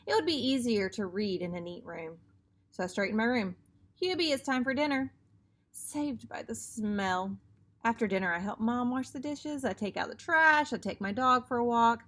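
Woman reading aloud, high lively voice. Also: moderate pace. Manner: expressive, acting out dialogue. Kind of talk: children's book read-aloud.

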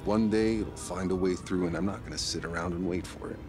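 An actor playing a grim, determined warrior with a burdened conscience in a video game cutscene, speaking tersely and gravely. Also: male voice, low-pitched, fairly quick, background noise, close to an everyday voice. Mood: grim resolve. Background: music.

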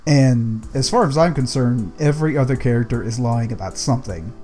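A man with a medium-pitched voice gives opinions in a casual group discussion as a co-host. There is faint music behind him. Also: fairly quick, dark tone. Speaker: podcast co-host.

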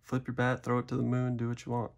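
A man's voice: mid-range voice, monotone, quick pace, creaky. A young man hosting a casual card-opening video, chatting in a rambling, self-deprecating way at a desk. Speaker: card-opening channel host. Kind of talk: casual pack-opening commentary. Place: indoors at a desk.

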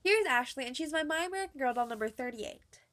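A woman's voice: high-pitched, expressive, quick pace, fairly bright tone. A young woman with a cold, narrating a chatty, upbeat voice-over as she shows her dolls' outfits. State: has a cold. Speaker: doll collector vlogger. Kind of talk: scripted voice-over showing doll outfits.

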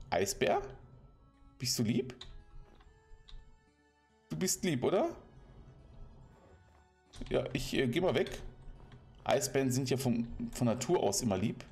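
A man's voice: fairly high pitch, creaky, darkish tone. A German man giving casual, playful commentary while playing a game, chatting to the animals and villagers he meets. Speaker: let's play commentator.

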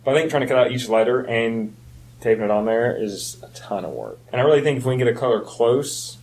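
Quick-talking man in casual chat. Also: medium pitch, expressive, a little mellow, slightly rough. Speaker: podcast co-host.

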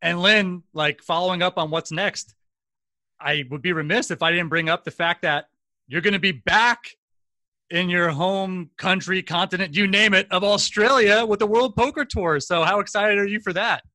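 A man's voice: high voice, normal speed, pushed and bright, no echo, studio quality. A man in his forties hosting a podcast over a video call, enthusiastic and rambling with lots of fillers, at a desk mic at home. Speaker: podcast host. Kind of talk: casual podcast interview. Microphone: desk condenser mic, video call.

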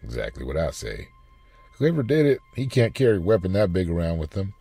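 A man's voice: low voice, very animated, brisk, gravelly, dark tone, husky, faint music behind, full character voice. A man voicing a blunt, casual police detective in a story reading. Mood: matter-of-fact. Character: gruff, casual male police detectives talking shop.

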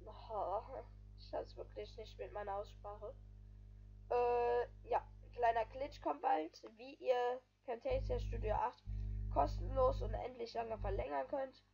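A woman talks over her gameplay video in an easygoing, rambling way, tying thoughts together with filler phrases. Her voice sits a little high. Soft music plays quietly underneath. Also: a little slow, gravelly, dull audio. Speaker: gaming video creator. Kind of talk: casual voice-over commentary.

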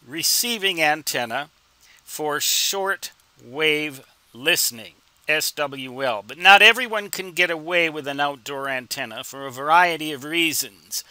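A man in his sixties giving an informal explanatory tutorial, with deliberate, emphatic phrasing and rhetorical questions. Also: a little high, expressive, bright, forceful tone, dry recording. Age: 60s. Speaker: instructor and ham radio hobbyist.

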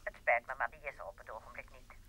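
An actress playing a friendly, chatty director's wife on the telephone in a Dutch radio play. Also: female voice, a bass voice, fast talker, sharp tone, low-quality recording.

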